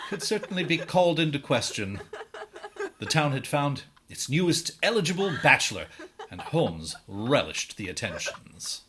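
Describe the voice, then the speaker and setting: A man playing a wry storyteller, narrating a period musical in formal, articulate theatrical prose with a husky voice. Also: on the high side, noisy background. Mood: wry, amused.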